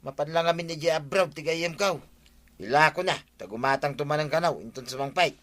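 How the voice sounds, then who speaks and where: An actor playing an excitable, insistent husband in a regional radio comedy drama, with music behind him. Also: male voice, a little high, fairly quick, close to an everyday voice. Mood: insistent, excited. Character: excitable husband eager to buy a tricycle.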